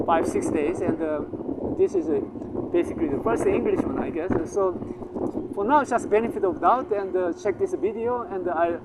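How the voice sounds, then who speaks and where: A man whose first language is Korean, talking off the cuff to his viewers, halting, with many fillers and repeated words, boastful. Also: high voice, very noisy. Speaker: vlogger promoting language courses. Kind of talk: unscripted talk to camera.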